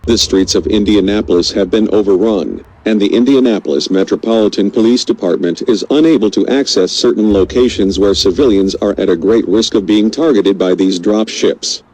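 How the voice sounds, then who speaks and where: A low-pitched male news anchor, acted by a man for an audio drama. He delivers a scripted crisis bulletin in formal, measured phrases, as a radio station's anchor would. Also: darkish tone, natural voice, rough recording. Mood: grave, urgent.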